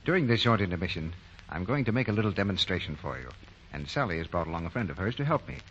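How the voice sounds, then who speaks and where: A man announces in vintage 1940s American radio style. He talks quickly in a fairly low voice. The recording is dull, with faint noise. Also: big pitch swings, fairly bright tone.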